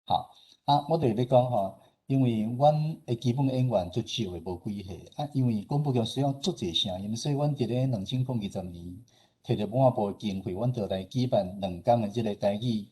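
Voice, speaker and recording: A man with a low, husky voice and a Taiwanese accent presents informally. His tone is dark and relaxed as he explains things, often ending phrases on little particles. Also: a little fast. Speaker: radio station head presenting at a forum.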